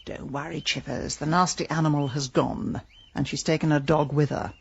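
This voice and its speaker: An actress playing a sharp-tongued, vain middle-aged businesswoman turned village detective in a radio drama, brisk and drily wry. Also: deep voice, steady tone, gravelly, highs cut off, a little hiss or hum. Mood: sharp, combative.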